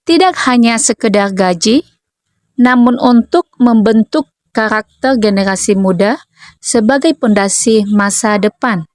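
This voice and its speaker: A woman from North Sumatra who teaches preschool, reading a prepared account in a measured, earnest way. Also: on the high side, no room sound, clean studio sound. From North Sumatra, Indonesia. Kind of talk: scripted narration, reading a prepared text.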